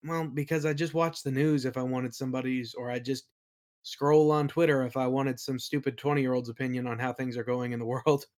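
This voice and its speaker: A man's voice: mid-range voice, level tone, brisk, darkish tone. A man answering as an interview guest in a casual conversational style, often hesitant as he thinks aloud. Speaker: interview guest, audio play creator.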